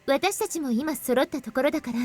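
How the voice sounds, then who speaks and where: Quick, high-voiced anime schoolgirl played by an actress. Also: a little mellow, faint music behind, close to an everyday voice. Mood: composed. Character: composed, polite teenage schoolgirl friend in a visual novel. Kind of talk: Japanese anime game voice acting.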